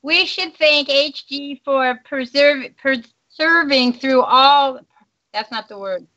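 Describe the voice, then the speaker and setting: Bright high-voiced woman reading messages. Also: no echo, muffled recording. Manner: bright, brisk, stumbles over words. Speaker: co-host reading listener messages. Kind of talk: reading chat messages aloud.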